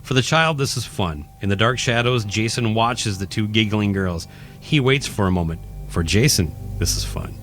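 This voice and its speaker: Low-voiced man narrating over loud music. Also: lively intonation, normal speed. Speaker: podcast host, narrator. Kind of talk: scripted dramatic narration.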